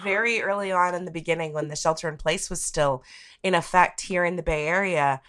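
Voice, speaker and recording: Low-voiced American woman in casual podcast chat. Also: brisk, fairly bright tone, slight background noise. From United States. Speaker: podcast guest discussing topic of the week.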